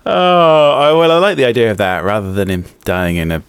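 A man hosting a podcast in casual chat, hesitant and quick to laugh. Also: fairly low pitch, sing-song, smooth, no room sound. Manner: giggly, hesitant, laughs often. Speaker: podcast host.

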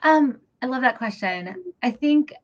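A woman's voice: on the high side, expressive, a little slow. A woman from New Hampshire, a theater director, answering interview questions earnestly with many ums and restarts. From New Hampshire, USA. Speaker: guest, theater executive director. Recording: dull lo-fi radio audio.

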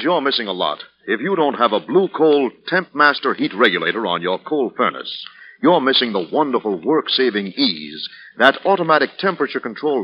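Animated man announcing on old radio. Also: medium pitch, breathy edge. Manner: emphatic, polished, dramatic. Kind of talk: 1940s radio scripted announcing. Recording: dull 1940s radio recording.

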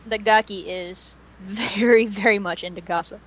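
A woman co-hosting a casual fan podcast, talking in an animated, slangy way and swearing casually. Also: medium pitch, moderate pace, poor audio. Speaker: podcast co-host.

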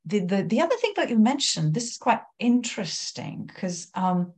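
A woman's voice: medium pitch, big pitch swings, normal speed, darkish tone, slightly rough. A woman whose first language is Lithuanian, thoughtfully explaining scripture in a casual discussion. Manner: thoughtful, explanatory, light laughs.

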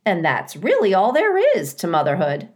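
A woman's voice: on the low side, wildly swooping pitch, normal speed. A woman voicing a blunt, fussy, motherly female goose in a children's storybook, with squawking, emphatic, opinionated delivery. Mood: breezy, instructive. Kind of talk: children's storybook character reading.

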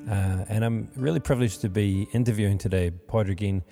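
A man hosting a podcast interview, speaking haltingly with many fillers, recorded in a monastery room. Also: lowish voice, gravelly, big-room sound, music in the background. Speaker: podcast host.

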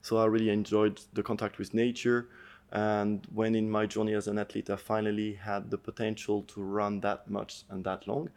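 A middle-aged man speaks in a lowish voice with a gravelly, creaky edge. He talks as an earnest podcast guest. He reflects out loud and pauses now and then to find the right word. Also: completely flat delivery. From France. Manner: earnest, thoughtful, searching for words. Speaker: podcast guest, endurance athlete. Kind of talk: reflective storytelling interview.

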